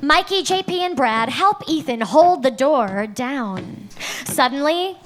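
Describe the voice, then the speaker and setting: High-voiced woman narrating slowly. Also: expressive, a bit bright. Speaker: narrator reading stage directions. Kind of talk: dramatic script narration at a live table read.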